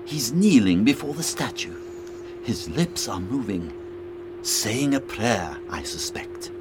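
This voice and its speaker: Noisy humming recording, man acting commanding gentleman, animated pitch, precise, observant, audio drama. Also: slow pace. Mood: observing, intrigued.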